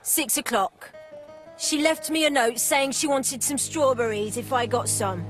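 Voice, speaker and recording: Brash high-voiced fruit seller. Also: female voice, a little slow, faint music behind. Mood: explaining. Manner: blunt, colloquial. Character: brash, defensive working-class woman fruit seller. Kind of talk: video game voice acting.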